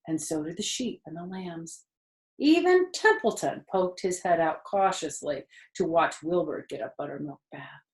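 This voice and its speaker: A woman storyteller reading a children's book expressively, lingering deliberately on the ends of phrases. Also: fairly low pitch, reverberant, poor audio.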